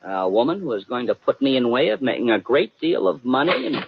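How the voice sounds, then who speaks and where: An American actor in a 1950s radio drama playing a naive, excitable young would-be detective who stammers when flustered and dramatizes himself. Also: male voice, medium pitch, a little fast, gravelly, background noise. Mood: indignant, flustered. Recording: muffled vintage 1950 radio broadcast.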